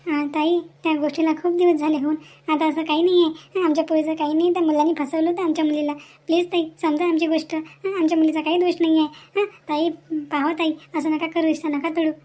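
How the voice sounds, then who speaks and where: A woman's voice: very high-pitched, little pitch movement, fast, warm tone. A woman voicing an anxious, aggrieved village mother in an amateur cartoon melodrama, pleading plaintively in a Varhadi Marathi accent.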